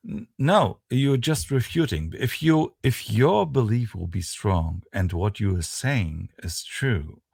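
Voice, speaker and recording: A man's voice: fairly low pitch, lively intonation, takes it slowly, gravelly, hardly any pauses, darkish tone, slightly rough, no echo, studio quality. A man debating insistently on a video call, breaking off and restarting his sentences. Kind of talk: heated casual debate.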